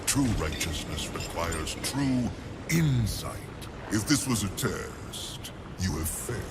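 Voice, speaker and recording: An actor playing an ancient, menacing male ruler of a realm of souls, speaking slowly in a deep, gravelly voice with grandiose, mocking menace. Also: very animated, noisy background. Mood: lecturing. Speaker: video game character. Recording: game audio with loud music.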